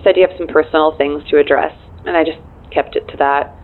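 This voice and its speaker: An actress playing a dryly wry, efficient and loyal assistant in an audio drama, speaking through a phone filter with a deadpan manner. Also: female voice, sits low, brisk. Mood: businesslike, concerned. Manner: deadpan, dry. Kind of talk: naturalistic audio drama acting. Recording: thin narrow phone-line sound.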